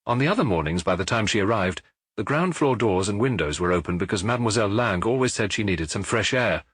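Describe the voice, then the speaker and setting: A man reading an audiobook, voicing a middle-aged male police officer who gives his report in a measured, matter-of-fact way. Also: fairly low pitch, animated, a bit bright, breathy edge, no echo. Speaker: audiobook reader.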